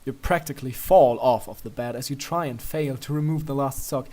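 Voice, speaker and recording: A man narrates a piece of fan fiction from a script. His voice sits at a medium pitch, and he reads in a storytelling style. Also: brisk, mellow.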